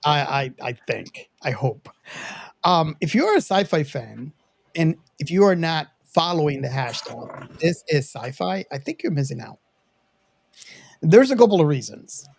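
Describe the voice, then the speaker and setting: A man addresses his podcast audience casually and earnestly. He speaks off the cuff in halting phrases, often doubling back on words. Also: on the high side, very animated, gravelly, breathy edge. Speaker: podcast host. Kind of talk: casual, unscripted talk to the audience.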